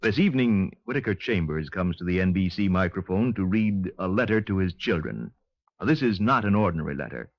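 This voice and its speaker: A man reading scripted 1950s radio announcements in a formal, measured way. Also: low-pitched, expressive. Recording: dull, dry 1950s radio recording.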